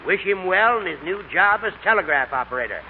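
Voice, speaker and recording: An actor playing a pompous, genial railroad boss speaking formally in an old radio drama. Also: male voice, sits high, animated, fairly quick. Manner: formal, declamatory. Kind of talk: 1940s radio drama acting, speechmaking. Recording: narrow, faintly noisy old radio broadcast.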